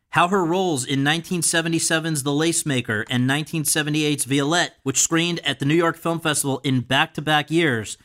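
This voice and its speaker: A man's voice: fairly high pitch, a bit bright. A man hosting an interview podcast, speaking in prepared introductions and questions.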